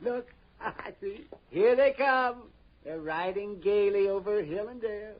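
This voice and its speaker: A male actor playing a fluttery, fussy rich gentleman in an old radio drama, with an arch, hesitant manner. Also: sits high, measured pace. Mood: airy, fussy. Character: fussy, flighty, high-voiced rich gentleman. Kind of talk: 1960s radio drama acting. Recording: muffled old radio recording, faint noise.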